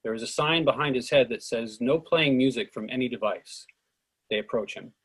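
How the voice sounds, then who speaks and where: A man reading stage directions in an even, measured way for a script reading. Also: medium pitch, husky.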